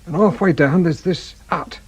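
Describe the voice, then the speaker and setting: An actor playing a muddled, frightened suspect in a radio drama, halting and pleading, with an English accent and a husky, rough voice. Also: male voice, on the high side. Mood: anxious confessing. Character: frightened, muddled male petty suspect confessing to a killing.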